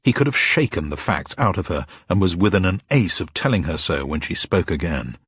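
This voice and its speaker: A man reading scripted fiction in a dramatic, expressive storytelling manner. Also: sits low, a little fast, breathy edge, dry recording. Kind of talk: scripted audiobook narration of a detective novel.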